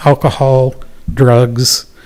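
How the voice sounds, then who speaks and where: A man presenting at a public town council meeting, talking in a measured, earnest way with fillers and pauses. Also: mid-range voice, little pitch movement, a little mellow, smooth. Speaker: youth program presenter.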